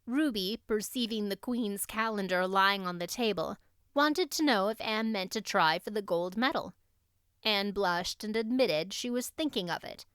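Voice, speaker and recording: A woman's voice: medium pitch, moderate pace, fairly bright tone, clear, resonant tone, no echo, studio quality. A woman audiobook narrator reading a classic novel expressively.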